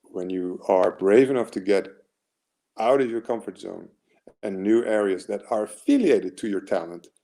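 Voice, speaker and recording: A man's voice: fairly low pitch, moderate pace, vocal fry, warm tone. A man in his forties with an American accent, giving an earnest, rambling motivational talk as a mental performance coach, full of fillers and restarts.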